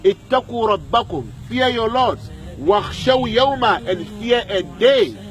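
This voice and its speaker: A man's voice: sits high, lively intonation, a little slow, low-quality recording. A man preaching a sermon at a graveyard, repeating lines and putting questions to his listeners, with Arabic verses in between. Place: outdoor graveyard.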